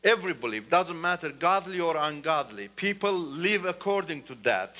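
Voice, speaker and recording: Man, guest church speaker, earnest, emphatic, bright tone. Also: fairly high pitch, expressive, normal speed. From Iran. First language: Turkish. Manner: earnest, repeats "you know", rises emphatically. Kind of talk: testimony talk in church.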